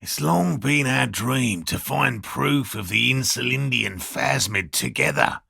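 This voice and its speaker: An actor playing an earnest, stubbornly hopeful elderly male cryptozoologist in a video game, speaking with a gravelly rasp and a formal, pedantic manner. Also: medium pitch, animated, a little slow, sharp tone, no room sound, clean studio sound, full character voice. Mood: earnest, scholarly. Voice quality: gravelly, raspy. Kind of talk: video game character dialogue.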